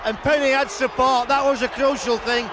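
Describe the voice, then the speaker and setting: A man breaking down rugby league plays as the co-commentator, with a very high voice. A loud crowd and clear hiss fill the archive broadcast around him. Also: fairly even intonation, normal speed. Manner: explains plays in detail. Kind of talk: analytical rugby match commentary.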